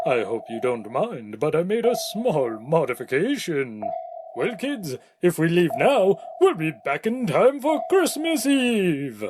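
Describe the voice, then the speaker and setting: A man playing Santa Claus as a jolly, kindly old man with a hearty, drawn-out delivery in an audio play. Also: mid-range voice, noisy background. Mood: warm, unhurried.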